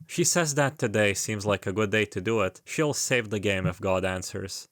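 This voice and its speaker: A man's voice: on the low side, fairly bright tone, dry recording, studio quality. A man giving a casual retrospective narration as a video essayist, with wry asides and rambling commentary. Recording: music behind the voice. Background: background music.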